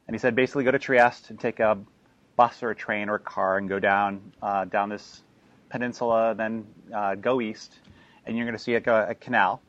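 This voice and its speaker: A man's voice: lowish voice, fairly bright tone. A man, a filmmaker, telling an audience about making his documentary in a rambling way full of restarts and fillers. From Canada. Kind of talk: talk to an audience after a screening. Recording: dull lo-fi recording.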